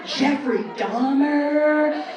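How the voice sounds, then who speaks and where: A roomy, echoing live recording of a woman comedian with a fairly high voice. She tells stories in a brash, casual way and acts out the conversations as she goes, speaking from a club stage. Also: very slow, recorded from a distance, lo-fi sound, background noise. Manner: animated storytelling, acting out quoted exchanges. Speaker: stand-up comedian. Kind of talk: stand-up comedy set.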